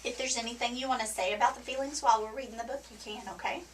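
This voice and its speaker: A woman counselor with a scratchy, hoarse voice, explaining things gently and patiently to a client in a counseling room. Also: fairly high pitch, fairly even intonation, rapid-fire. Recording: distant mic with faint background noise.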